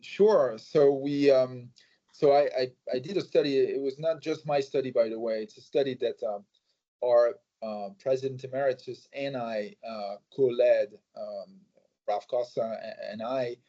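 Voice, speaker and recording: Guy, warm low-key tone, talks with lots of uhs and restarts, a bit muffled. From France. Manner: measured, many fillers and restarts. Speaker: think tank president, guest. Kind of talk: interview answers. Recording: slightly muffled recording.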